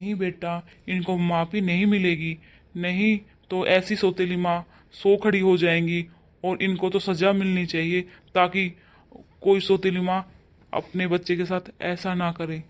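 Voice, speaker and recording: A man's voice: high voice, flat delivery, unhurried. A man playing a stern father who scolds his wife and comforts his daughter. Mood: angry. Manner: scolding loudly, then softening to coax. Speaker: actor in a family drama. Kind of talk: amateur family drama acting.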